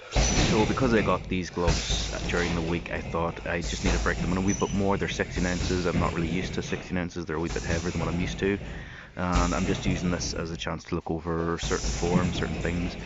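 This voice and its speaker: A man's voice: deep voice, flat delivery, brisk, loud music behind the voice. A man doing voice-over commentary with dry, self-mocking humor. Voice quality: husky. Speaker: vlogger. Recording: dull lo-fi voice-over with noise.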